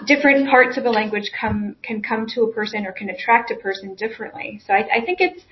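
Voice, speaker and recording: A woman's voice: fairly high pitch, a little hiss or hum. Woman musing about languages, stops and restarts a lot, dull lo-fi sound. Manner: thoughtful, halting, with repeated words. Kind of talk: casual conversation. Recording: dull lo-fi audio.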